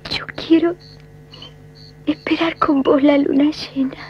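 A woman's voice: high voice, flat delivery, takes it slowly. An actress playing a devoted young woman in love, pleading breathily in a 1970s film. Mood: yearning. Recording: dull vintage film soundtrack, faint music.

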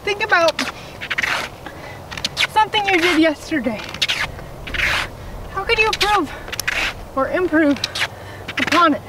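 A young woman with a bright, high voice speaks slowly and encouragingly as she walks through a park. She sounds a little winded from the effort. The camera mic sits some way off, and loud wind noise rushes over it. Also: animated, recorded from a distance. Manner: encouraging, a little out of breath from walking on crutches. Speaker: fitness vlogger. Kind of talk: casual talk while walking. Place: outdoor park sidewalk, windy. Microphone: camera filming from a distance.

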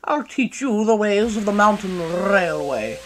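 A man's voice: sits high, big pitch swings, measured pace, voice pushed up, heavily acted. A man voicing a haughty, indignant steam engine in a children's story, scolding and grumbling. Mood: snappish. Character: haughty, snappish male mountain steam engine.

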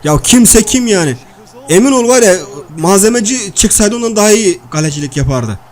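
Casting: male performer as crude, high-voiced comic everyman, slangy and blustering, nagging through cartoon game banter. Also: lively intonation, normal speed. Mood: loud, outraged. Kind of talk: comic video-game banter and match commentary.

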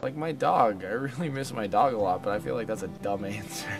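A man's voice: medium pitch, a little fast, vocal fry, roomy sound, distant mic, over music. A man in his twenties, an exchange student, giving candid, emphatic interview answers indoors. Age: 20s.

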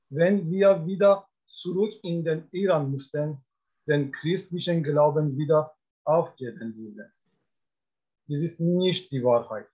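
A man's voice: on the high side, a little mellow. A man speaking earnestly and firmly about his faith. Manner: earnest, declarative. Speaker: asylum seeker. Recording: dull video call audio.

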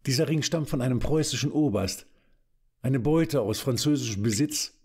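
An actor playing an effeminate, war-obsessed Prussian count with an affected, aristocratic manner in an audio drama. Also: male voice, mid-range voice, normal speed, darkish tone, husky, close to an everyday voice. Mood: self-satisfied. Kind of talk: German audio drama acting.

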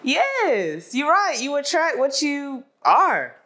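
A woman in her twenties talks openly as a guest, filling her sentences with small hesitations and frequent laughter. She sits in a bright studio and is picked up by a lapel mic. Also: high-pitched, huge pitch swings, takes it slowly. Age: 20s. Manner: candid, laughs often, many fillers. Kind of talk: casual conversation.

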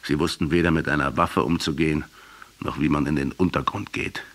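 A man's voice: very deep voice, fairly even intonation, brisk, a bit bright, full character voice. A male actor in a radio drama playing a troubled, insistent intellectual who questions and challenges. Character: troubled Argentine intellectual interrogating a revolutionary, also arguing as Fidel. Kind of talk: radio drama acting and narration.

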